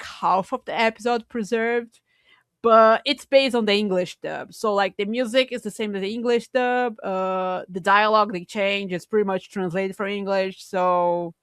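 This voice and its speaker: Woman podcaster, halting delivery, dry close sound. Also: medium pitch, fairly bright tone, no room sound. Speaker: podcast co-host. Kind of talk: casual podcast discussion.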